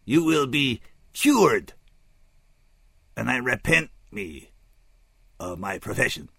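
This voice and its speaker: A man's voice: mid-range voice, big pitch swings, gravelly, a bit bright, slightly rough, no echo, voice pushed up, full character voice. A man voicing a tall, anguished alien scout who speaks formally and dispassionately in an odd, unplaceable accent, with a pained tone. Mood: grave, resigned. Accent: odd, unplaceable foreign accent. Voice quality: pained, strained. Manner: stiff, formal, dispassionate, slow and deliberate.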